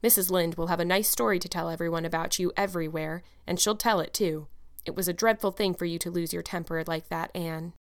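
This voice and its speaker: A woman's voice: on the low side, steady tone, fairly quick, close to an everyday voice. Woman reading as a stern, dry older guardian. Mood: stern scolding. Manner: firm, scolding, dry.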